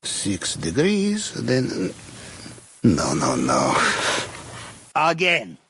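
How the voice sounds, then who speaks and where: An actor playing a jovial, deferential Russian submariner in a video game, speaking courteous, slightly broken English with a Russian accent and wry dark humour. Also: male voice, medium pitch, huge pitch swings, extremely slow, a little hiss or hum, full character voice. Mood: muttering to himself. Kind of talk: video game character dialogue.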